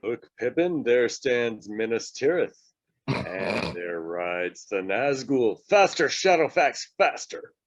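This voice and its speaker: A man's voice: medium pitch, very animated, slow, muffled recording. A man playing a grave, commanding old wizard in a fantasy script read, declaiming in a stately way. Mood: urgent.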